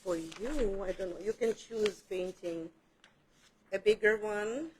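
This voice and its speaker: A woman's voice: medium pitch, slow pace, a little hiss or hum. A woman casually walking a group through a painting demo in a studio, chatty and a little self-deprecating. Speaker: art instructor. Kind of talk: casual live demo teaching. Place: art studio room.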